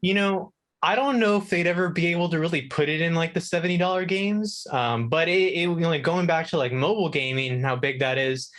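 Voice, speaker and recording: A man's voice: on the high side, fairly quick, few pauses, fairly bright tone. A man chatting casually as a guest on a gaming talk segment, hedging and thinking aloud as he answers. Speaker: video game contributor and guest. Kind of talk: casual chat.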